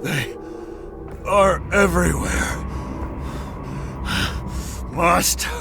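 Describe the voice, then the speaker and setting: An actor playing a frantic, battle-crazed man in a video game, shouting in a strained, raving way. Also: sits high, very slow, vocal fry, full character voice. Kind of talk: video game cutscene acting. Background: game music.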